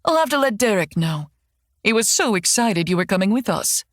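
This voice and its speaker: A woman voicing a genteel older mother who speaks in a high, sweet, doting way. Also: sing-song, fairly quick, no room sound, clean studio sound, lightly acted.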